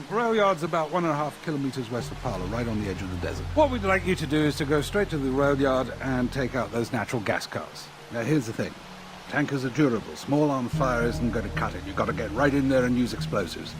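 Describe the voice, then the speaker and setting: A man playing a rebel faction officer in a video game, giving a measured, matter-of-fact briefing. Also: medium pitch, lively intonation, over music, lightly acted. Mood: businesslike. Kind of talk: video game cutscene acting. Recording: clear outdoor ambient noise.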